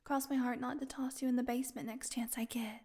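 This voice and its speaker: A woman playing a weakened, hungry female vampire in an intimate audio roleplay, sarcastic and teasing, with a breathy voice that often drops into a whisper. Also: a little high, level tone, a little mellow. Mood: weary, teasing. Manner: sarcastic, breathy murmurs, drawn-out weary delivery.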